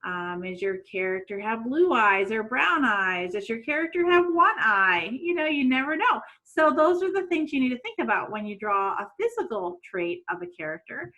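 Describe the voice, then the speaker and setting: A middle-aged woman, a teacher doing an expressive storytime for children and putting questions to young listeners. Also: on the high side, normal speed, few pauses, poor audio. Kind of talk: read-aloud storytime for children. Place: small room at home.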